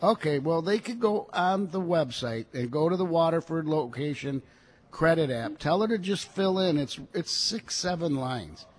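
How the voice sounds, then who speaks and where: A hoarse man selling cars on a radio show, talking in a salesy, reassuring way. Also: a little high, animated, moderate pace. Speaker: car dealer guest.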